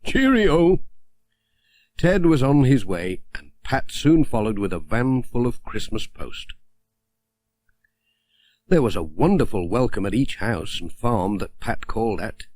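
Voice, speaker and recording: A man's voice: fairly low pitch, lively intonation, unhurried, darkish tone, dry recording. A man narrating a children's story from a script, as an audiobook reader.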